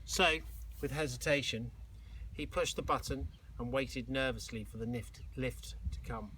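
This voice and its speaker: A man reading a children's storybook aloud like a teacher, expressively and dramatically, with suspenseful pauses. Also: medium pitch, sharp tone, a little hiss or hum, low-quality recording. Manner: dramatic, with exclaimed sound effects and suspenseful pauses.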